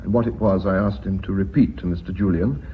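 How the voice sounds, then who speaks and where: An actor playing an unflappable male company secretary in a radio drama, explaining things in measured, formal sentences. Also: low voice, lightly acted. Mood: deliberate, revealing. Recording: dull, slightly noisy radio recording.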